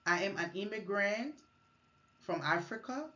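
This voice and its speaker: A woman's voice: mid-range voice, animated, measured pace, fairly bright tone, dull audio. Woman giving a class presentation. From Liberia. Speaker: student presenter. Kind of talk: recorded class presentation, partly read.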